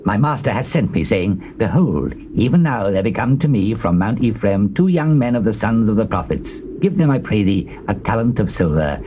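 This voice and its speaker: An English actor playing a greedy, sly male servant, speaking in a low, furtive way. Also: lively intonation, normal speed, few pauses, dark tone, muffled recording, slight background noise, lightly acted.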